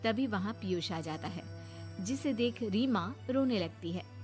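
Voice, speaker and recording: A woman's voice: mid-range voice, lively intonation, measured pace, darkish tone, soft background music. A woman narrating a scripted cartoon story.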